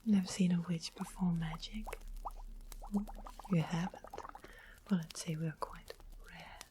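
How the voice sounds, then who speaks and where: A woman playing a sweet, mysterious witch shopkeeper in a whispered ASMR fantasy roleplay, breathy, deliberate and coaxing. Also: lowish voice, fairly even intonation, background noise, lightly acted. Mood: soothing, knowing. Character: sweet-smiling, secretly menacing witch shopkeeper, soothing and mysterious.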